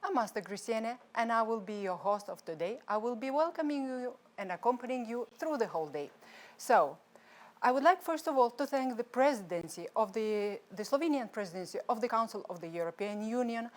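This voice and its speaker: A woman from Lithuania with a Lithuanian accent, a non-native English speaker hosting a live-streamed conference from a studio in a chatty way, with some restarts. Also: mid-range voice. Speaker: conference host.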